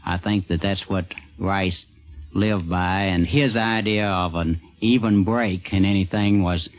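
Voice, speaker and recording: A middle-aged man giving an earnest, hesitant, measured tribute in a 1950s radio interview. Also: deep voice, fairly even intonation, dull audio. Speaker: interviewee, newspaper sports editor. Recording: 1950s radio recording, dulled sound.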